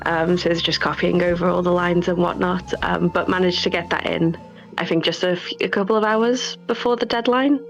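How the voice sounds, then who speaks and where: A woman with a lowish, noticeably creaky voice speaks through dull, lo-fi audio with faint music behind. She explains her work casually and modestly, like a guest developer in an interview. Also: fairly even intonation, brisk. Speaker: game developer and artist being interviewed.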